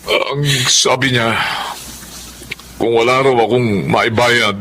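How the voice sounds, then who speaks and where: A man's voice: medium pitch, steady tone, slow, sharp tone, slight background noise. An actor with a Filipino accent playing an apologetic, indebted family man with a gambling habit in a radio drama. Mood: sheepish. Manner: apologetic, hesitant, pleading.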